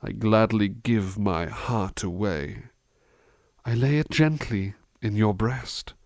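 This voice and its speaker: Gentle male butler, played by a man, ASMR roleplay. Slow, gravelly, courteous, tenderly attentive delivery. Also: lowish voice, dry recording. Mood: yearning. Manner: soft-spoken, hesitant, courteous, reassuring. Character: gentle, devoted, polite butler attending to a master.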